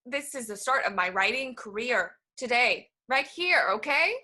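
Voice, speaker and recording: An actress playing a tense, ambitious young writer, an impatient older sister, in a stage play. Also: female voice, on the high side, lively intonation, normal speed, bright, forceful tone. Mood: exasperated. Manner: impatient, cutting, swears. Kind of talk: naturalistic one-act stage play.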